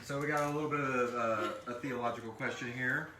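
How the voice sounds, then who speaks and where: A man's voice: medium pitch, steady tone, brisk, very noisy. A man hosting a casual live question-and-answer chat, joking and sometimes hesitant as he explains. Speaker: show creator hosting a live audience question session. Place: live stream in a room with several people. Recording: roomy, distant mic with group chatter.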